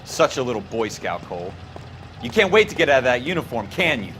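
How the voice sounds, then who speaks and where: A man's voice: medium pitch, sing-song, brisk. An American man playing a wary, wisecracking police patrolman in a video game, sardonic and griping in a colloquial way. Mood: mocking. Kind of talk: video game dialogue acting. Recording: loud music and car noise behind.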